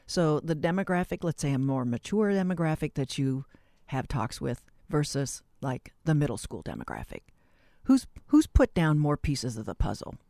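A man interviewing conversationally, phrasing questions haltingly as he thinks aloud. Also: on the high side, sing-song, vocal fry, darkish tone, dry recording, studio quality.

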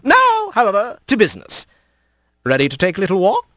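Male reader as a sly fox lord, storybook spirit, high-pitched, very animated, airy, politely cutting. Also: fairly quick, dry recording, studio quality, exaggerated voice. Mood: breezy, amused. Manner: airy, mock-polite, teasing, lightly cutting. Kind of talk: animated storybook character reading.

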